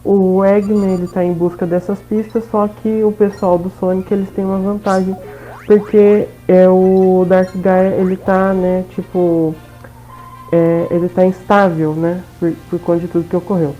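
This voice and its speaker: A woman's voice: on the low side, steady tone, moderate pace, warm tone. A woman with a Brazilian accent giving casual, informal gameplay commentary, often adding little tag questions. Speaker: gaming video host. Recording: dull, lo-fi sound, faint game music.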